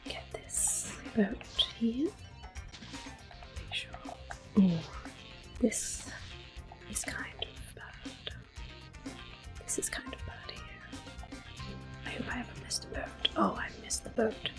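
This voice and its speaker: A woman giving hushed, gentle, soft-spoken ASMR commentary as she plays a video game. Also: on the high side, huge pitch swings, takes it slowly, long pauses. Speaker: ASMR gaming host. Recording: soft music in the background. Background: quiet game music.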